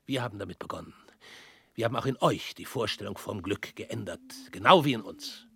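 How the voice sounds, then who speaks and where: An actor in a radio drama playing a stern male revolutionary who speaks in measured, pointed lines and often drops to a hushed whisper. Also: on the low side, animated, creaky, exaggerated voice. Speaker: revolutionary visitor.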